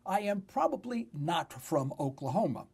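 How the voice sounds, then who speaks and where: A man's voice: high-pitched, sing-song, normal speed, gravelly, darkish tone, husky. A man in his sixties with a New York accent, hosting talk radio in a conversational, storytelling monologue with emphatic phrasing. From New York City. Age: 60s.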